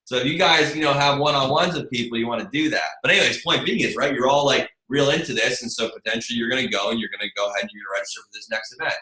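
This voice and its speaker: A man's voice: mid-range voice, rapid-fire, few pauses, pushed and bright. A man hosting an online team meeting as a marketing presenter, with a chatty, rambling, informal sales delivery. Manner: chatty, rambling, informal, addresses listeners as you guys. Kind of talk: casual sales pitch on a group video call. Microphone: video call. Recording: roomy echo, faint noise, clicks.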